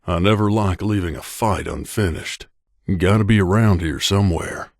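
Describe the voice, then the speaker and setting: A low, closely recorded male voice , acted by a man. He is an earnest wasteland drifter from a video game, guarded but loyal. His delivery is conversational and sincere, with very little room sound. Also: moderate pace, dry recording, studio quality. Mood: wary, casual. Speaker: voice actor. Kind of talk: video game companion dialogue.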